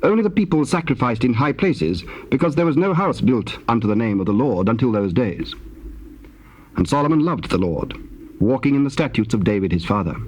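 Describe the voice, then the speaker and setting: Casting: male narrator for solemn scripture, mid-pitched and husky, with lively intonation. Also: fairly quick, poor audio. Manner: formal storytelling.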